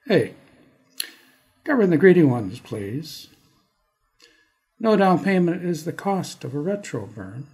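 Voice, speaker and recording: A Canadian man speaking as a guest poet on an arts podcast in a deliberate, halting manner. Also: on the high side, very animated, a little mellow. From Toronto area, Canada. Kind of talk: casual self-introduction and slow poetry reading. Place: studio.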